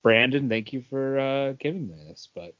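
A man with a mid-pitched voice chats casually as a podcast host. He is halting and tends to repeat himself. The sound is very dry and a little dull. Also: lively intonation, clear, resonant tone, dry recording. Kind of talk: casual chat.

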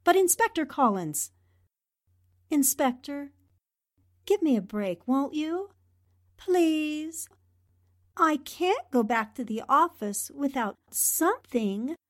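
A woman's voice: high voice, big pitch swings, slow pace, long pauses, full character voice. A woman playing a pushy, spirited young newspaper reporter, insistent and pleading, in 1940s radio drama style. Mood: urgent, pleading.

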